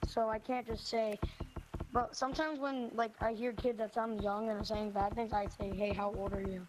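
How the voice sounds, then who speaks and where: A woman's voice: on the high side, flat delivery, moderate pace, noisy background. A young female player answering interview questions casually, hesitant with many fillers. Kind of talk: casual chat.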